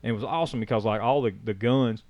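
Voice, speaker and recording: A man's voice: mid-range voice, fast talker, no echo, dull audio. A man co-hosting a casual podcast, talking in a rambling, enthusiastic way with many fillers. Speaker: podcast co-host. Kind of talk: casual chat.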